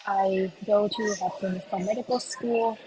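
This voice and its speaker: A woman from Vietnam, an incoming radiology resident, introducing herself briefly and in a measured way on a video call. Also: mid-range voice, fairly even intonation, background noise.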